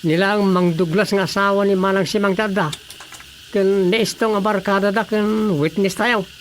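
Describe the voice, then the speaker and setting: A woman with an Ilocano accent playing a brisk, inquisitive village councilor in a radio drama comedy. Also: mid-range voice, steady tone, a little hiss or hum, natural voice. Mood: concerned.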